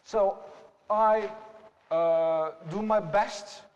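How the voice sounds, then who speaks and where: A young man with a Turkish accent giving a slow, deliberate classroom presentation and asking rhetorical questions. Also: high voice, a little mellow, long reverb tail, rough recording. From Turkey.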